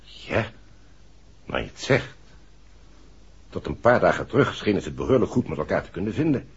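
An actor playing an earnest, confiding man with a husky voice in a 1960s Dutch radio drama. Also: low-pitched, big pitch swings, normal speed, full character voice. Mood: uneasy, confiding. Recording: muffled old radio recording.

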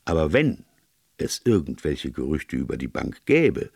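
A man voicing a gentle, shrewd priest detective who explains things in a measured way in an audiobook. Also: a bass voice, very animated, gravelly, breathy edge, no room sound, clean studio sound, exaggerated voice. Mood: calm, knowing.

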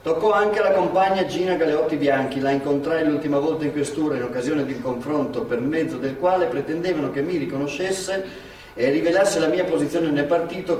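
An actor playing a polite interviewer who questions the old women and reads aloud from a book, in a live stage recording. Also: male voice, fairly high pitch, a little mellow, roomy sound, distant mic, a little hiss or hum, lightly acted, rough recording. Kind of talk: theatre reading, recorded live.

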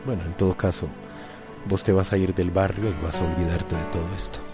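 Low-voiced, patient male confidant acted by a man. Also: fairly even intonation, brisk, music in the background, natural voice. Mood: reasoning. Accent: Costa Rican. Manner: patient, reasoning. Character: calm, understanding male friend giving gentle advice. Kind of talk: radio drama acting.

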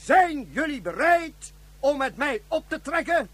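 Very high-voiced solemn ruler. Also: male voice, very animated, unhurried, a bit bright, dry recording, heavily acted. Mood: stirring appeal. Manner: rhetorical, emphatic, repeats phrases. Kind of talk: radio drama oratory.

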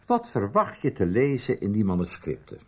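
A guarded, knowing older man in a radio soap. His low voice is husky and very animated in melody. He probes with measured questions. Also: full character voice. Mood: probing, knowing. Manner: probing, deliberate. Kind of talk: Dutch radio soap acting.

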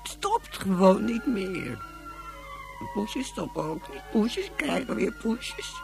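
A woman's voice: medium pitch, big pitch swings, slow pace, gravelly, music in the background, exaggerated voice. An actress playing a drunken, anxious mother in a science-fiction radio play, rambling and clinging. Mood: weary, tearful. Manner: rambling, repetitive, slurred and dramatic.

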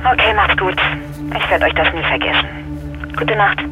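A woman's voice: on the low side, moderate pace, loud music behind the voice. An actress playing a grateful, shaken woman in an audio drama, speaking earnestly and hesitantly over a satellite phone. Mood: grave. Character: grateful, shaken woman rescued from kidnappers, a mother. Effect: satellite phone filter. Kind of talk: German audio drama acting. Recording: tinny, noisy satellite phone line.

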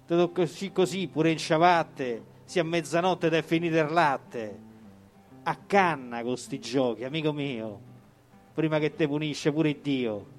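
Man, Roman accent, theatrical comic poetry reading, slightly high voice, faint plucked guitar behind. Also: a little mellow. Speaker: poetry reader. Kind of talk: theatrical recital of comic dialect verse.

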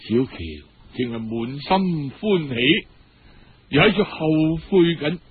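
A man with a Cantonese accent telling a story as a dramatic, husky-voiced narrator. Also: mid-range voice, lively intonation, takes it slowly, a little hiss or hum. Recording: dull lo-fi radio-style recording.

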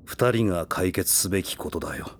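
A man's voice: low-pitched, fairly quick, a little hiss or hum, lightly acted. A man playing a stern, taciturn middle-aged father who speaks curtly and matter-of-factly in a voice drama. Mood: reproving. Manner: curt, reserved, matter-of-fact. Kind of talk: voice drama acting.

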